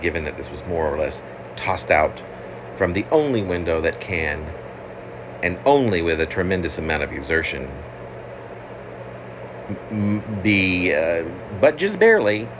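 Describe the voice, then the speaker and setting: A man reading his own poem as a wry, halting, digressive literary monologue. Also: sits low. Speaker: poet reading own work. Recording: clearly noisy, steady background hum.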